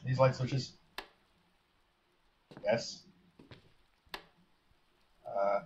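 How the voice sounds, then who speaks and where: A man's voice: medium pitch, steady tone, extremely slow. A man casually commentating on a horror game as he plays, thinking aloud with wry, muttered remarks. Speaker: gamer. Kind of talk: casual let's play commentary. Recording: slightly dull lo-fi mic with faint hiss.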